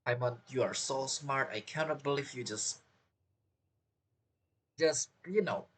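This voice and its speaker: A man giving casual game commentary and reading text aloud in a halting way, reacting as he goes. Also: mid-range voice, a little hiss or hum. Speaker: gaming streamer.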